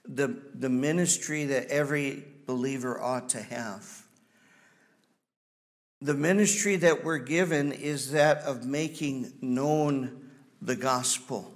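A man in his fifties with a Wisconsin accent, a missionary giving a folksy, halting church presentation full of ums. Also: medium pitch. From Wisconsin, USA.

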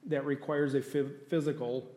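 Conversational pastor in an echoey room. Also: male voice, mid-range voice, level tone, normal speed, dark tone. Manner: conversational, asks the congregation questions. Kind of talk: sermon.

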